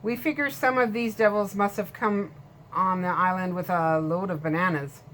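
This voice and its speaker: Storybook role: a woman playing a blunt friendly storekeeper, lower register, matter-of-fact. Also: fairly even intonation, normal speed, fairly bright tone, a little hiss or hum, close to an everyday voice. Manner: plain-spoken, matter-of-fact. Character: blunt, friendly middle-aged male island storekeeper.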